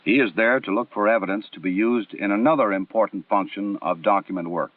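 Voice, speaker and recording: A low-voiced American man reads formal instructional narration, measured and precisely enunciated. The sound is narrow and muffled like a 1960s film soundtrack. He sits a little back from the mic in a dry room with no echo. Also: distant mic. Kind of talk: formal scripted documentary narration.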